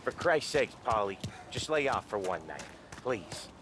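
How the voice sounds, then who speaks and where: A man's voice: fairly low pitch, sing-song, unhurried, background noise. An actor playing a streetwise gangster in a video game, talking in informal tough-guy slang. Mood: weary, businesslike. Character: streetwise gangster readying a crew for a job. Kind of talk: video game cutscene acting. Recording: music behind the voice.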